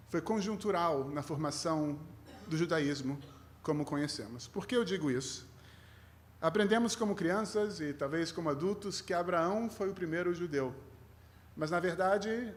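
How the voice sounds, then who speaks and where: Higher-voiced Brazilian man preaching conversationally. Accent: Brazilian with a mixed foreign tinge. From Rio de Janeiro, Brazil. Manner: conversational, halting, self-deprecating. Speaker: rabbi, guest preacher. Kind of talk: sermon with informal humour.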